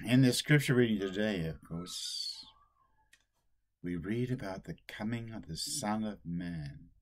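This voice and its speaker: An older man, a priest, giving a solemn sermon at a slow, deliberate pace, recorded on a webcam in a small room at home. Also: lowish voice, lively intonation.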